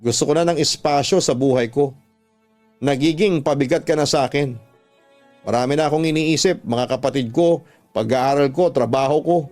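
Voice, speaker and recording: A man's voice: mid-range voice, normal speed, a little mellow, lightly acted. A man playing a weary, defensive young boyfriend, pleading and emotional, in a radio drama. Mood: weary, fed up. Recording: music under the voice.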